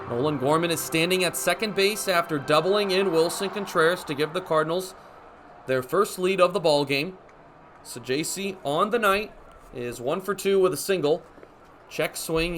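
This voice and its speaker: A young man delivers live baseball commentary for radio. His voice sits high and has a sharp, forceful brightness, and he describes the action in short, clipped phrases. Faint ballpark noise runs behind him. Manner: narrates action in short clipped phrases, building to excited calls. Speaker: baseball play-by-play announcer.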